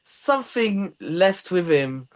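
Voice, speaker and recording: A woman from Portugal with a Portuguese accent, hosting a podcast interview and telling stories in a chatty, animated way. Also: lowish voice, slow. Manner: animated, storytelling with quoted voices. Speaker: podcast host. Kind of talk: casual interview chat.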